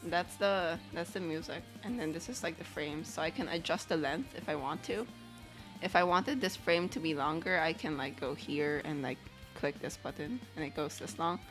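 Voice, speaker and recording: A woman with a slightly low voice talks casually to her livestream chat. She rambles in loose, chatty phrases as she works on animation, with faint music playing behind her. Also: moderate pace. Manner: rambling, chatty, reads chat questions aloud.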